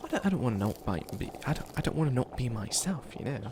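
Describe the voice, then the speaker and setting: A man's voice: mid-range voice, very animated, fast, noisy background, lightly acted. A man playing a bumbling, easily flustered male witch who wants to be a villain, stammering and rambling in comedic ASMR roleplay. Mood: flustered, unsure.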